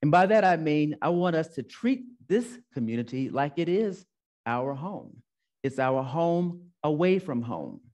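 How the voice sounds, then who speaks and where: A man's voice: fairly high pitch, big pitch swings, a little slow, darkish tone. A male minister giving a sermon, telling a personal story and repeating phrases for emphasis. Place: church sanctuary.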